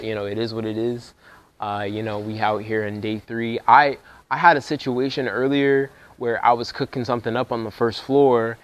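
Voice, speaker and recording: A man's voice: medium pitch, clear, resonant tone. Laid-back young man vlogging. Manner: laid-back slangy talk, gets fired up when excited. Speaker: music producer vlogging. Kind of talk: casual vlog talk to camera. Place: music production workshop house.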